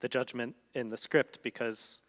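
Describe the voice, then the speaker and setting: A man's voice: mid-range voice, fairly even intonation, moderate pace, a bit bright. A man, a translator, talking reflectively onstage before an audience and often searching for his words. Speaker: translator in a post-reading conversation. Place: stage of a large hall before an audience. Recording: reverberant hall sound.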